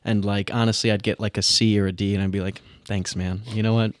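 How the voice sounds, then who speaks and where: A man's voice: on the low side, little pitch movement, quick pace, clean tone, no echo, clean studio sound. A man co-hosting a podcast, chatting casually and teasing his friend, with a congested voice after a cold. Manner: joking, teasing. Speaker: podcast co-host. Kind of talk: casual chat.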